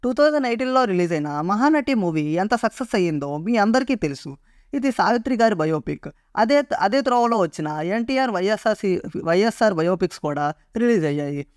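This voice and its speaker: A man's voice: high-pitched, lively intonation, a little fast, smooth, dry recording, studio quality. A man presenting a scripted explainer as a video narrator.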